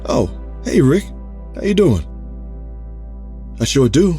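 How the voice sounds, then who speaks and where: A middle-aged man playing a calm, watchful father figure in an audio drama, easygoing and understated. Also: mid-range voice, slow pace, soft background music.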